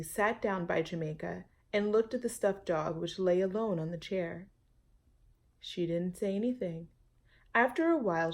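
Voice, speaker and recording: A woman's voice: on the low side, gravelly. A woman reading a children's picture book aloud, with chatty asides to her young listeners. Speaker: storytime reader.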